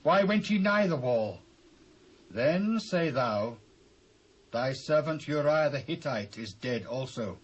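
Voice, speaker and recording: A British actor playing a forceful ancient army commander giving orders in a dramatised Bible reading, on an old cassette recording. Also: male voice, medium pitch, expressive, slow, slightly rough. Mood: stern, urgent. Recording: dull old cassette tape.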